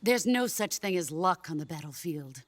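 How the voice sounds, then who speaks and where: An actress playing a stoic veteran female soldier who speaks in a calm, measured, grave way over game music. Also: fairly low pitch, close to an everyday voice. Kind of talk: video game cutscene acting. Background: game score music.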